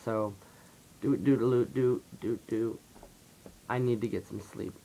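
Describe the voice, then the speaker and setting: A low, flat-voiced teenage guy with a raspy, dry-throated sound, rambling softly through a personal vlog. He leans on lots of fillers as he opens up to the camera. A faint rumble sits underneath. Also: slow, low-quality recording. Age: late teens. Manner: soft-spoken, rambling, heavy on fillers. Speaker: vlogger. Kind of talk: confessional casual monologue.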